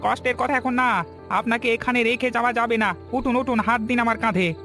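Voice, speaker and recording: A man voicing a kind, hardworking village woodcutter husband in a Bengali cartoon story, earnest and soft-hearted. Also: very high-pitched, fairly even intonation, brisk, faint music behind, exaggerated voice. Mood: urgent, caring.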